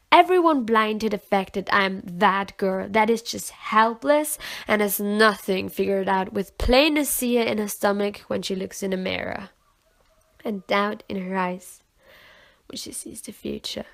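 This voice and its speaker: A young woman recites slam poetry at a slow, deliberate pace. Her medium-pitched voice often frays into creak. The delivery is earnest and emotional, with dramatic shifts between hushed and forceful phrasing. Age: 20s. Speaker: amateur poet.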